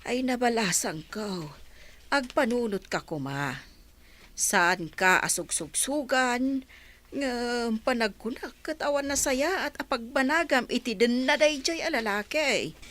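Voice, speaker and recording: A woman's voice: a little high, animated, unhurried, fairly bright tone. A woman acting a concerned, earnest confidante in a radio drama, giving advice with emphatic feeling. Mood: admonishing, concerned.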